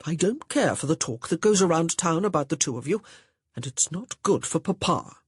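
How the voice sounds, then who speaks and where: A narrator reading a pompous, easily flustered young gentleman who scolds sternly but wavers. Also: fairly high pitch, lively intonation, normal speed, husky, dry recording, studio quality. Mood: earnest. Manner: stern, blustering, uncertain.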